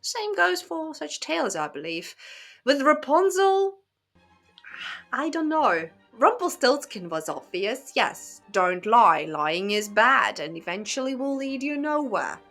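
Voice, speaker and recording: Woman chatting to viewers, takes her time, wry little asides, soft music underneath. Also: sits high, big pitch swings, slow. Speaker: host of a book and fairy tale commentary channel. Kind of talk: casual spoken commentary to viewers.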